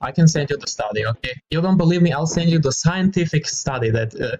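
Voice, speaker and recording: Croatian-born man, cool and assured debater, cites studies, teasing edge, dull lo-fi call audio. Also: fairly high pitch. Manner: calm, confident, quick with data and sarcasm. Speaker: debating video chat host. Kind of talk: casual debate on video chat.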